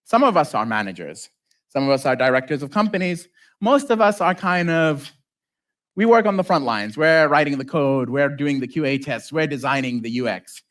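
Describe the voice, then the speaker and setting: A man who is a native Arabic speaker giving an informal conference talk as a software developer, with joking asides and check-ins to the audience. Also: on the high side, expressive, normal speed. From lives in South Africa.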